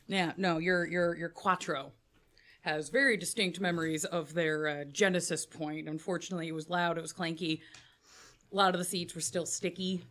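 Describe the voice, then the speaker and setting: A woman's voice: lowish voice, measured pace, long pauses, a bit bright. A woman narrating as game master in a casual, profane way, full of filler words.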